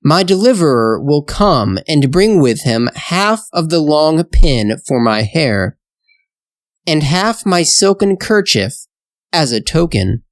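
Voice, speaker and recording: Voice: medium pitch, big pitch swings, slow pace, no room sound, studio quality. A narrator voicing a steadfast young princess in a storybook fairytale. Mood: resolute. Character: steadfast young princess refusing a false suitor.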